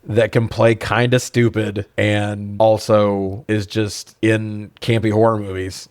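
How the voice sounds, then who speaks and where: Male podcast co-host, low voice, dry close sound, wry and rambling, loose film chat with swearing. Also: flat delivery, takes it slowly, a little mellow, dry recording. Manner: informal, wry, rambling asides. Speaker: podcast co-host reviewing films.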